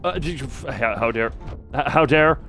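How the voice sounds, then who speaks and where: A man's voice: medium pitch, lively intonation, a little slow, a bit bright, soft background music. A man giving casual live gameplay commentary, rambling playfully and breaking into exclamations and silly sound effects. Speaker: live streamer.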